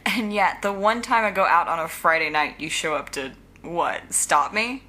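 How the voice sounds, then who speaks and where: A woman's voice: lowish voice, moderate pace, sharp tone. A woman playing a wry, defiant young genius supervillain in an audio drama, with dry retorts.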